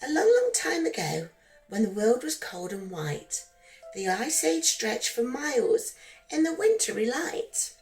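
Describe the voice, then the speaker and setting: A woman reads a rhyming bedtime story for children in a fairly high voice. Her delivery is very slow, gentle and singsong. Soft background music plays beneath her. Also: rough recording.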